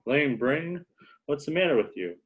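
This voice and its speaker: A frantic male computer programmer in a jam, acted by a man at a table read, grumbling and muttering to himself in irritation. His voice sits fairly high. The home recording is a little dull. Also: lively intonation, normal speed, slightly rough. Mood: annoyed, scornful. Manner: muttering, exasperated, talks to himself. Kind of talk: informal script table read.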